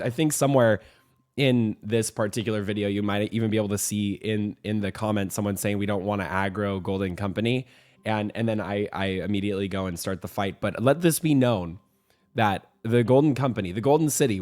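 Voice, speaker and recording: A young man hosting a weekly game news show, a little hoarse from a cold, talking in an upbeat, rambling way. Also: on the low side, darkish tone. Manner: upbeat, rambling, with frequent restarts and fillers. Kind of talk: casual game news commentary.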